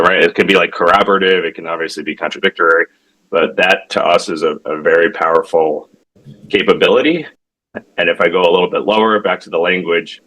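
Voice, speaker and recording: A man giving an informal product demo as a tech founder, talking in a halting, conversational way with self-corrections and frequent check-ins. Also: sits low, sharp tone, low-quality recording. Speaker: tech company founder demonstrating his product.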